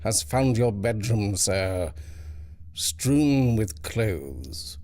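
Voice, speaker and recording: Male narrator as discreet butler, formal, deferential, detached, evasive, slow delivery, storybook reading. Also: lowish voice, big pitch swings, a little mellow, full character voice.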